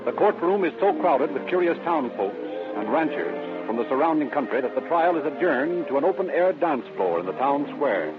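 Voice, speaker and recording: A man's voice: on the high side, big pitch swings, moderate pace, hardly any pauses. An American man narrating a vintage radio crime drama in a solemn, formal, dramatic scripted style. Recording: tinny vintage radio recording with music.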